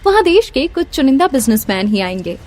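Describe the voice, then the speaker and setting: A woman's voice: high voice, very animated, fairly quick, darkish tone. A woman playing a polite, businesslike corporate secretary in an audio drama, formal and composed. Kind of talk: Hindi audio drama acting. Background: background music.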